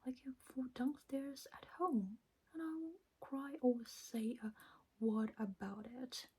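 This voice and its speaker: Non-native english woman doing a curious chatty storybook girl, breathy whisper, musing to herself slowly. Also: sits high, a little mellow, pitched above the performer's own voice, exaggerated voice, rough recording. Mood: musing, proud. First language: not English. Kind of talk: whispered ASMR storybook reading.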